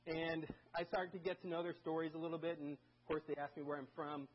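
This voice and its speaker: A man's voice: fairly high pitch, fairly even intonation, fast talker, breathy edge, muffled recording. A man who grew up in Germany, a guest preacher giving a casual, conversational sermon full of stories and self-deprecating asides. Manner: chatty, self-deprecating, many restarts and fillers.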